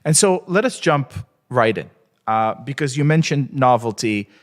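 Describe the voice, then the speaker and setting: A man's voice: mid-range voice, lively intonation, moderate pace. A man from Israel, a native Hebrew speaker, interviewing authors at a book launch.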